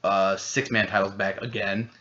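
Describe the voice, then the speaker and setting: Male podcast co-host, low and forceful, excitable and profane, lo-fi audio. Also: moderate pace. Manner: rambling, swears a lot, excitable. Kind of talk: casual chat.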